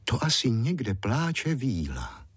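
A man's voice: medium pitch, huge pitch swings, husky, no room sound, pitched above the performer's own voice. A man voicing a brave, caring peasant woman in a storybook tale, plain-spoken and concerned. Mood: puzzled concern. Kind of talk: storybook fairy-tale character.

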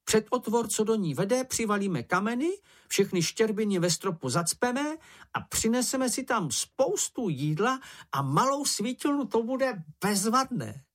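A little male creature from a children's storybook, pitched far up high. He is boastful and excitable, whining and exclaiming in a husky, swooping voice. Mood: boastful, excited. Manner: exclaiming, self-important.

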